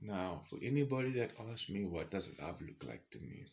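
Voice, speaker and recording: A man's voice: deep voice, lively intonation, normal speed. South African man storytelling, slightly dull recording. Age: about 40. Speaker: vlogger telling a personal travel story. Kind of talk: casual first-person storytelling.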